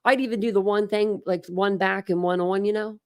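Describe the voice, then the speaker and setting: A woman's voice: medium pitch, fairly even intonation, brisk, no room sound. Chatty American woman livestreaming, dry close sound. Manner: slangy, chatty, mild cursing. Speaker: true crime livestream host. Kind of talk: casual live chat.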